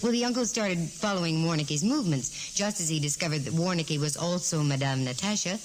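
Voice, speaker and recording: A woman's voice: low voice, brisk, close to an everyday voice, rough recording. An American actress playing a wisecracking, fearless female private eye in a 1940s radio detective drama, with a wry, breezy, slangy delivery. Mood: explaining. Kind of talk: 1940s radio detective drama acting, first-person narration and dialogue. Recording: hissy 1940s radio broadcast recording.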